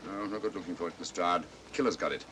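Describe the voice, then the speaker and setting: An actor playing a dry, incisive English gentleman detective with a British accent, clipped and commanding, in an old mystery film. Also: low voice, quick pace, a little hiss or hum. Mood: brisk, certain. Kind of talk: 1940s mystery film acting.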